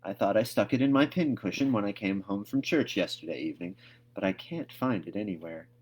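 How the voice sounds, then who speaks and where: A man reads the part of a strict woman guardian from a storybook. The voice sits low, and the words come firm, clipped and scolding, with no nonsense about them. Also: lively intonation, fairly quick, slightly rough. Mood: stern.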